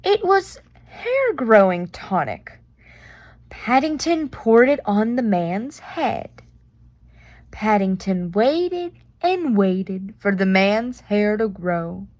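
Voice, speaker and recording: Animated woman reading a children's story slowly. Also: mid-range voice, no echo. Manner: animated, deliberate, with playful reactions. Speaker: storyteller reading a picture book aloud. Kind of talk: expressive children's read-aloud with asides.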